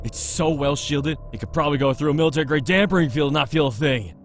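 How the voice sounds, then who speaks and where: A man voicing a cocky, reckless, excitable motorhead in a visual novel game. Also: on the high side, fast, a little hiss or hum, natural voice. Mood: excited, cocky. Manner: brash, excitable, swearing.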